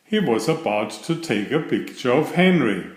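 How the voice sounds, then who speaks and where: A man reading a children's storybook aloud, telling it in an expressive and deliberate way. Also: mid-range voice. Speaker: children's book reader. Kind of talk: storybook read-aloud narration. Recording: roomy, echoing voice recording.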